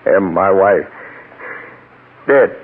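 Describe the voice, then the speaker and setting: An actor playing a weary, grieving husband who speaks haltingly in an old-time radio crime drama. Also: male voice, low-pitched, lively intonation, slight background noise, full character voice. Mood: stunned, pleading. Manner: halting, stammering. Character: grieving, weary husband whose wife was murdered. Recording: muffled, narrow-band old radio recording.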